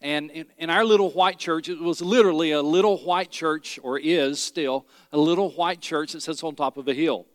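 A middle-aged pastor from Tennessee, preaching a sermon with folksy stories and rhetorical questions. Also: male voice, fairly high pitch, moderate pace, hardly any pauses, no room sound, studio quality, big-room sound. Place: church sanctuary.

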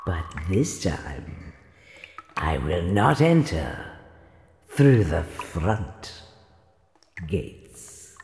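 A woman's voice: extremely low pitch, big pitch swings, extremely slow, pauses often, a little mellow, exaggerated voice. A woman playing a menacing, gloating evil fairy villainess in an audio fairytale, theatrical and cackling. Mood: gloating, triumphant. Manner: theatrical, cackling, swooping from hiss to cry. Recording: roomy, long echoing reverb.

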